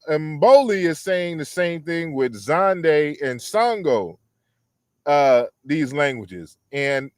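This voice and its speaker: A man giving a measured live-stream talk on language classification, reading quotes aloud and often restarting phrases. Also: fairly high pitch, no echo, studio quality. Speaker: guest lecturer on African languages.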